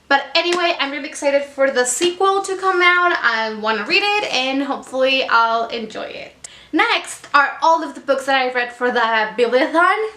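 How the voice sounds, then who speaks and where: High bright young Mexican woman vlogging. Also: echoey room. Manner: chatty, rambling, many "like" fillers. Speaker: book vlogger. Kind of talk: casual reading wrap-up vlog.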